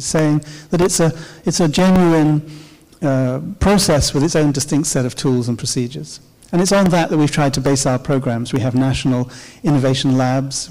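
Middle-aged male keynote speaker, warm mellow tone, measured delivery, handheld mic in a big reverberant hall. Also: mid-range voice. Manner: measured, explanatory, occasional "uh" pauses. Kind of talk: conference keynote talk. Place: large dark auditorium stage. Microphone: handheld microphone.

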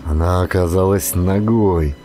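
Low-voiced man narrating a thriller. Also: measured pace, dark tone. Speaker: audiobook narrator, actor. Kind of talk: dramatic scripted narration of a thriller novel. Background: background music.

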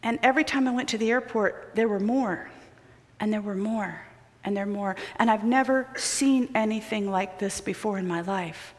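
A middle-aged woman preaching a sermon built on personal stories, shifting between loud urgency and hushed near-whispers. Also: medium pitch, moderate pace. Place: large church sanctuary with choir loft. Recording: long hall reverb of a large sanctuary.

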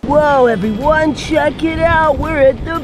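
An excitable, friendly toy monster truck played by a man for a children's video. The voice is pitched high and full of playful exclamation. Loud music sits over the outdoor beach sound. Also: measured pace. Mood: excited. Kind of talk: children's toy play narration. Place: outdoors at a beach, action camera.

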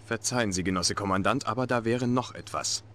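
A man's voice: fairly low pitch, brisk, background noise, lightly acted. A middle-aged man playing a dutiful, defensive Soviet military officer in a dubbed video game cutscene, formal and clipped.

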